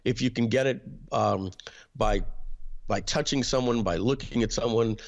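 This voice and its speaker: A man, telling a personal story as an interview guest, hesitant, with frequent throat clearing and filler words. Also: mid-range voice, normal speed. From New York. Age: 50s.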